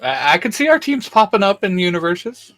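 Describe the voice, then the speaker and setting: A man co-hosting a casual geeky chat, talking in a halting way as he thinks aloud. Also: high-pitched, sing-song, sharp tone, no room sound. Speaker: co-host.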